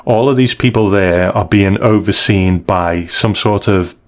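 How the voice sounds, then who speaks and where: Lower-voiced man, casual podcast co-host. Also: unhurried. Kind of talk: casual comic book discussion.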